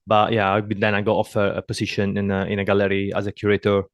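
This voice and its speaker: A man with an Italian accent hosts a casual podcast conversation. His voice sits fairly low, his phrasing is halting with repeated words, and the recording is dry with no room sound. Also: monotone, no room sound, dull audio. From southern Italy. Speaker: podcast host.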